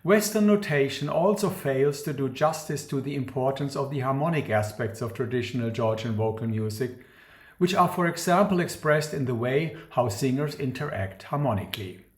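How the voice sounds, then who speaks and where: A man gives a scripted academic lecture in a formal, measured, precise manner. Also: medium pitch, lively intonation. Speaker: academic researcher presenting a talk. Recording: roomy, echoing sound.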